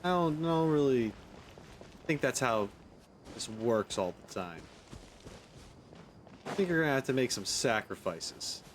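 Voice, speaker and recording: A man's voice: medium pitch, animated, unhurried, pauses often, a little hiss or hum. A man doing casual let's play commentary as a gaming streamer, with a sceptical, sarcastic and blunt manner.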